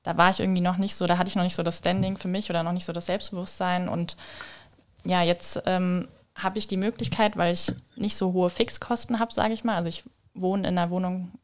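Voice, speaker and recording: A woman with a slightly low voice talks as a podcast guest. Her delivery is informal and conversational, a little tentative, with frequent small qualifications. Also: little pitch movement, brisk. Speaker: podcast guest, freelance designer. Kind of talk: casual interview chat. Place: kitchen.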